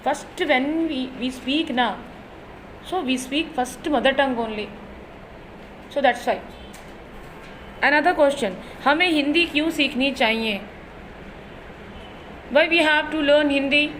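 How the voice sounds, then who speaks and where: A woman's voice: high voice, moderate pace, pauses often, a bit bright, a little hiss or hum, rough recording. A woman with an Indian accent teaching a lesson in a didactic way, repeating and rephrasing her points and posing questions as she explains. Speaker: Hindi teacher. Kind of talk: bilingual classroom lesson explanation.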